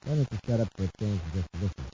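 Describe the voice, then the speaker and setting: An American actor playing a flippant, scheming younger brother in a 1950s radio drama, with a breezy, needling manner. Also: male voice, on the low side, expressive, rapid-fire, dark tone, lightly acted. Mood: brazen, defiant. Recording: muffled, noisy old radio recording.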